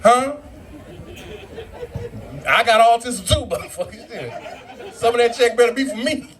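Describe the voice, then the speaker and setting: A man's voice: extremely high pitch, expressive, a little slow, a crowd in the background, rough recording. A man doing a brash, profane stand-up set in a brew pub comedy club, acting out other people in his bits.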